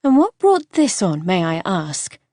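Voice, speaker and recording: Woman doing a fiery young wife, loud and urgent, dry close recording. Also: fairly low pitch, very animated, a little slow, no echo. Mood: teasing. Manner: raised, urgent and emotional.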